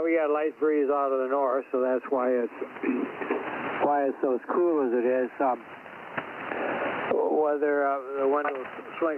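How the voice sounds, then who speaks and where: A man talks casually over ham radio in a rambling, halting way, often repeating himself. His voice comes through thin and phone-like, with clear noise on the signal. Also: mid-range voice. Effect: two-way radio transmission. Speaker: amateur radio operator.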